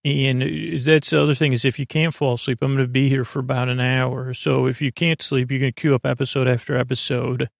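A man hosting a bedtime podcast in a meandering, halting monologue, with a gravelly voice and a lulling, soothing manner. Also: mid-range voice, little pitch movement, no room sound, studio quality. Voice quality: gravelly, creaky. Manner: rambling, halting, self-interrupting. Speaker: podcast host.

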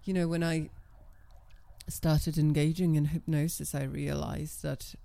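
A middle-aged woman guest with a naturally low, calm and level voice, speaking in a measured, self-assured way. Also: creaky. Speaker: guest, professional dominatrix. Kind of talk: casual interview talk.

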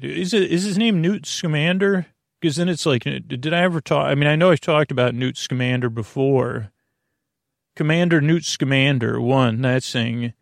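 Creaky-voiced man, lulling bedtime rambler. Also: mid-range voice, no echo, studio quality. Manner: lulling, soothing, meandering and halting. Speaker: host of a sleep podcast. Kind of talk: rambling, tangent-filled bedtime monologue.

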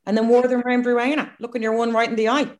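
A woman's voice: on the high side, little pitch movement, fast talker, a little mellow. A woman with an Irish accent, co-hosting a casual comedic chat, frank and self-mocking. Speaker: podcast co-host.

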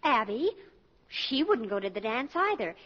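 A cocky, airy teenage student in a vintage radio comedy. Her very high, lively voice is breezily dismissive. The recording is dull. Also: unhurried, exaggerated voice. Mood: breezy. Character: airy, self-assured, crafty teenage college girl. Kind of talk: 1940s radio comedy acting.

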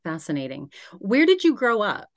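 A woman's voice: mid-range voice, huge pitch swings, normal speed, no echo, studio quality. A woman hosting a podcast interview, reading a formal guest introduction and then asking friendly, chatty questions. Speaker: podcast host.

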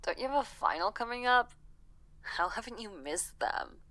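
A woman's voice: on the high side, expressive, moderate pace, pushed and bright. An actress playing a blunt, scolding, bossy young woman in an audio drama.

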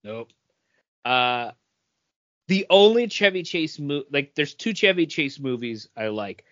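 A man's voice: on the high side, animated, takes it slowly, a bit bright, dry recording, lo-fi sound. A man co-hosting a podcast, chatting casually and bluntly giving his opinions. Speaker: podcast co-host. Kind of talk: casual comics and film chat.

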